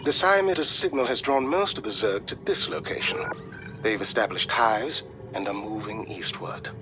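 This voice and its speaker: An actor playing a grave, dutiful military aide in a video game, giving reports in a formal, measured, solemn manner. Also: male voice, on the low side, animated, close to an everyday voice. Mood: businesslike. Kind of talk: scripted video game acting. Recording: loud music underneath.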